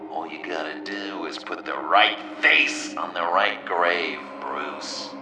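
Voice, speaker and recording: An actor playing a manic, theatrical game villain who taunts the hero with macabre mockery, moving between hushed menace and gleeful shouts. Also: male voice, on the low side, heavily acted. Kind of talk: video game villain voice acting. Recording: echoey, distant, with loud music behind. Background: game music.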